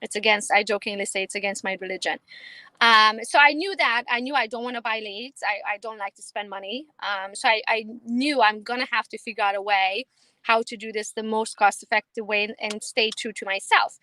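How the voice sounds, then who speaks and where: A woman in her thirties from Romania with a Romanian accent, chatting confidently and quickly as a guest on an interview. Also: mid-range voice, steady tone, bright, forceful tone, no echo, poor audio. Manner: confident, fast, emphatic, laughs easily. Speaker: realtor guest. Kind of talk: casual interview chat.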